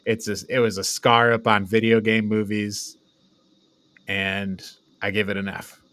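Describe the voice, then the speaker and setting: A man's voice: fairly low pitch, little pitch movement, measured pace, fairly bright tone, dry recording. A man hosting a casual review chat, talking informally with his co-hosts. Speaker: host of a film ranking show.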